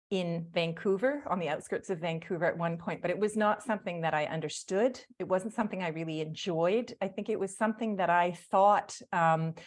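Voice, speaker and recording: A middle-aged woman from Canada, an author chatting as the guest in a video call interview, talking with frequent ums and self-corrections. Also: fairly low pitch. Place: indoor home room. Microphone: earphone headset on video call.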